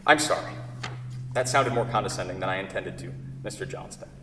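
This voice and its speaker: A man's voice: deep voice, fairly quick, noisy background, close to an everyday voice. A man in a staged play reading, acting a wordy, fretful furniture craftsman in love with his own creation, often correcting himself. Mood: indignant, firm. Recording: echoey hall, far from the mic.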